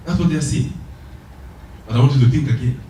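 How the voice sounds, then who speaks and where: A man from the Democratic Republic of Congo with a Congolese French accent, whose first language is French, giving a lively motivational speech. He asks the audience rhetorical questions and repeats his key phrases. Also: mid-range voice, fairly quick, a little hiss or hum. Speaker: motivational speaker. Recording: very echoey hall, voice from far off.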